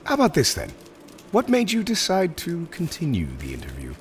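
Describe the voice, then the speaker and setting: A man's voice: fairly high pitch, huge pitch swings, fairly quick, a little hiss or hum. A man playing a theatrical, polite but exasperated supervillain interviewer in a roleplay audio drama, hesitant and mock-professional, prone to chuckling. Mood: amused, candid.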